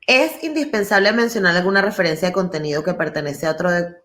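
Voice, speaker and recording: Woman hosting, super enthusiastic, lots of quick yeahs, sounds echoey. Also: fairly low pitch, fairly bright tone. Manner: enthusiastic, frequent short affirmations. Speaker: podcast festival host. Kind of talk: casual interview hosting. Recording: echoey room.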